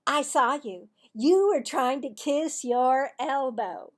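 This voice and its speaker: A woman voicing a bossy, scornful schoolgirl in a storybook, with loud, emphatic, taunting delivery. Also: high-pitched, slow pace, voice pushed up. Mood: scornful, teasing.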